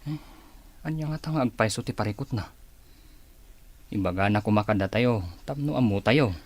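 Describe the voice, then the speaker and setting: A man playing a curious, gossipy office employee with a husky voice and a Filipino accent in a radio drama. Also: on the low side, moderate pace, no room sound, close to an everyday voice. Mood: curious, concerned.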